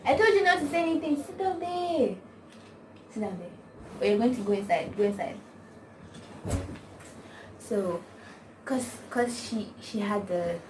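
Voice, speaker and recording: A woman's voice: mid-range voice, lively intonation, a little slow, pauses often. A young woman with a Nigerian accent, chatting to camera and rambling through stories with lots of "like" and slang. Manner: rambling storytelling, constant "like", slangy. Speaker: vlogger. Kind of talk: casual chat to camera. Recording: distant mic, noisy background.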